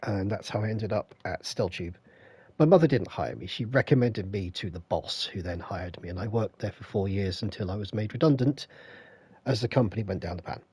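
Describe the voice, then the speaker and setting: An English man with a croaky voice, feeling unwell, rambling through stories while greeting his live stream chat on a headset. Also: fairly low pitch, animated, a little fast, darkish tone, dry recording. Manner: rambling, anecdotal, stops to greet viewers. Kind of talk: casual storytelling to live chat.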